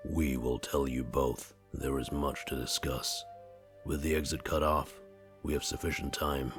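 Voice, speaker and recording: A man's voice: very deep voice, level tone, measured pace, soft background music. A man playing an ancient, many-voiced spirit in a possessed body for a visual novel game, speaking formally and cryptically of itself as we. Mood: calm, commanding.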